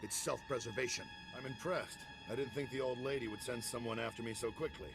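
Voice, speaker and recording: An actor voicing a defiant veteran soldier turned traitor in a video game, wry, blunt and self-assured, with gravel in the voice. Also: male voice, medium pitch, fairly even intonation, brisk, over music, natural voice, poor audio. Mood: wry, amused. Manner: cocky, sardonic, blunt.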